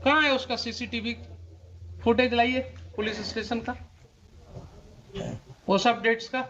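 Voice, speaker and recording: Indian man as presiding judge. Sharp, unusually high voice, admonishing, rhetorical, code-switching, over faint noise. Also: fairly even intonation, a little slow. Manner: stern, admonishing, rhetorical questions; switches between Hindi and English. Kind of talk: courtroom questioning and oral directions. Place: courtroom. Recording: muffled courtroom audio, faint noise, clicks.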